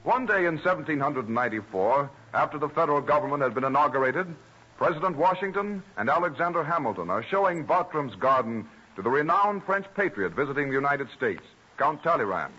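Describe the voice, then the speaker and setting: A man's voice: a little high, very animated, fairly quick, bright, forceful tone, slightly rough. A man reading scripted narration in a formal, declamatory old-time radio style. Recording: muffled old radio recording. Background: music.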